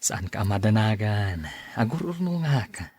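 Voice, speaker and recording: A man's voice: fairly low pitch, sing-song, moderate pace, darkish tone, breathy edge. A man acting a devoted, generous young husband, eager to please, in an Ilocano-accented radio drama. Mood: affectionate.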